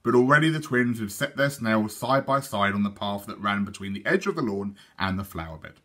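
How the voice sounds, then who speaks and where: Animated British man reading a children's story. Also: on the low side, a little fast. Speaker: teacher reading aloud to schoolchildren.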